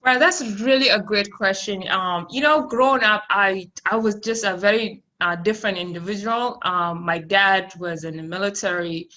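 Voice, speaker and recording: A woman's voice: mid-range voice, moderate pace, hardly any pauses, pushed and bright, highs cut off. A woman from South Sudan, raised in Ethiopia with Amharic as her first language, answering interview questions in a rambling way, with many fillers and restarts. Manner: laughs between phrases. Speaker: podcast guest.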